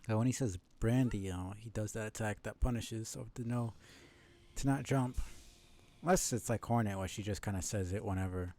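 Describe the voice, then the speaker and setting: A man with a fairly low, soft-edged and dark voice commentates live on his own gameplay. He talks casually and analytically, rambling as he thinks out loud like a streamer. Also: a little fast. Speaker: streamer playing a video game.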